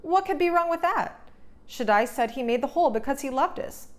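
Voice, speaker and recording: A woman's voice: high-pitched, animated, a little fast, voice pushed up. A woman voicing a curious, innocent young boy in a storybook, earnest and questioning. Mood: curious, uneasy.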